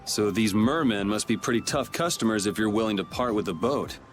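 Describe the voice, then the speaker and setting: A man's voice: lowish voice, a little fast, music in the background, close to an everyday voice. Laid-back, wry young swordsman played by a man. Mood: wry, guarded. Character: cool, wry young male guild swordsman, self-assured. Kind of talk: video game dub acting.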